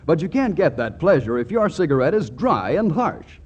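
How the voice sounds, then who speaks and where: Old radio ad announcer guy, highish lively voice, muffled sound. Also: moderate pace, breathy edge. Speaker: commercial announcer. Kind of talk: scripted 1940s radio advertising. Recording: dull old radio broadcast.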